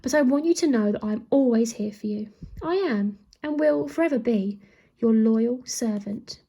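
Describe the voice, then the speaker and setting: A woman voicing a scheming male Lord Protector in a calm, oily storybook reading. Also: on the high side, moderate pace, husky. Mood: smooth.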